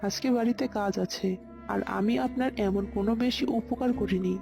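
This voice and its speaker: A woman's voice: mid-range voice, steady tone, a little fast, close to an everyday voice. A woman voicing a kind, earnest young man in love in a scripted cartoon. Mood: helpful, modest. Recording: loud background music. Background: music.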